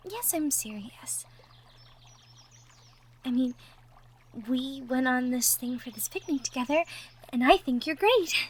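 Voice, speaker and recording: Woman doing a shy sweet woodland girlfriend for an audio roleplay, soft hesitant high voice, bit of noise and birdsong behind. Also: lively intonation, measured pace, long pauses. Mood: encouraging, tender. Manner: hesitant, bashful, soft-spoken, trailing off. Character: shy, sweet cottagecore young woman secretly in love. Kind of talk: roleplay audio drama, intimate one-sided conversation. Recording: faint background noise, birdsong effects. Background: birdsong, outdoor nature sound effects.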